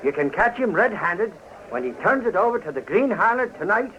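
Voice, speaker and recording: An actor playing a hot-headed, boastful Irish reporter in 1940s radio style, blustery and folksy. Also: male voice, sits high, very animated, a little fast, sharp tone, full character voice. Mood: eager, confiding. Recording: dull, lo-fi 1940s radio recording.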